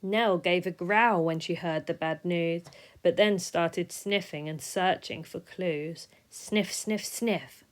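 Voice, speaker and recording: Unhurried woman reading a children's story. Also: on the low side. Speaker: storybook reader. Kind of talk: expressive rhyming children's story narration.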